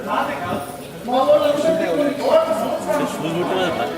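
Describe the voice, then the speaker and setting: A man's voice: extremely high pitch, very animated, brisk, a loud crowd. A man acting a poor, downtrodden, aggrieved village man in a stage play, declaiming in rhetorical questions. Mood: bitter, aggrieved. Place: stage in a large hall. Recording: echoey hall from far off, music behind.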